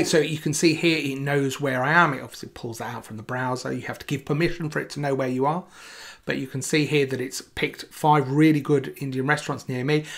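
A man in his forties giving a casual software demo as a video presenter, in a conversational, emphatic way. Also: on the high side, vocal fry.

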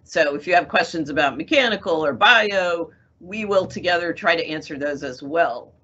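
Middle-aged woman, panel moderator, bright tone, muffled call audio. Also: fairly low pitch, moderate pace, breathy edge. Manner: friendly, organized introductions. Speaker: moderator, assistant dean. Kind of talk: hosting a video-call panel. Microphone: video call. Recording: dull lo-fi video-call audio.

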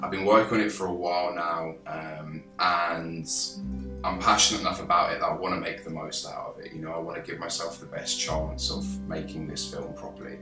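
A young man from England in his twenties, pitching his film to camera with eager gestures and frequent restarts. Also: steady tone, fairly quick, far from the mic. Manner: enthusiastic, gestures with his hands, many restarts. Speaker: film director pitching a crowdfunding campaign. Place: small office, indoors. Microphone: camera a few feet away. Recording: roomy office sound with background music.

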